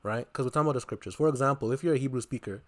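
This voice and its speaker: A man's voice: mid-range voice, racing, a bit bright. Insistent man on a debate stream. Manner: insistent, repeats his question, cuts in. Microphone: headphones plugged in, computer mic.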